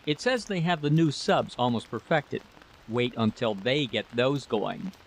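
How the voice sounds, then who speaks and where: A man's voice: mid-range voice, expressive, a little slow, vocal fry, low-quality recording. A man voicing a proud, irritable husband in a story, complacent and brushing off worries. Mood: eager. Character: dismissive war-technician husband, proud and irritable.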